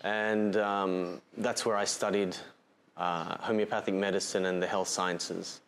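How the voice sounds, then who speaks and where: Calm man with an Australian accent. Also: deep voice. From Bali, Indonesia, and Australia. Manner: measured, calm explanatory delivery with pauses and fillers. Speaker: holistic health practitioner presenting a talk. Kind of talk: livestreamed health talk to camera. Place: indoor room with shelf and framed photos. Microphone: lapel mic, seated facing camera.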